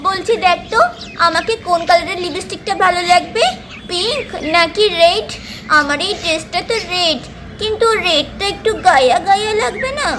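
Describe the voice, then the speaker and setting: Woman acting a very high-pitched, bossy doll lady. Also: moderate pace, noisy background. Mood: vain, excited. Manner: haughty, scolding. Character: vain, bossy, short-tempered young mother doll. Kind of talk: children's doll-play cartoon voices.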